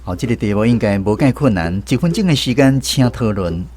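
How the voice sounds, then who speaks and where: A man's voice: on the low side, lively intonation, a little fast, darkish tone, faint music behind. A man with a Taiwanese accent hosting a language lesson segment on the radio as quizmaster. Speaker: radio show host and quizmaster.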